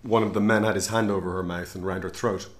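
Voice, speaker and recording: Man playing a low-voiced, cold operative. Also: steady tone, quick pace, natural voice. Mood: cold, evasive. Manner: terse, matter-of-fact, defiant. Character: cold, defiant male intelligence operative, cornered but threatening. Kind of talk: tense radio drama dialogue.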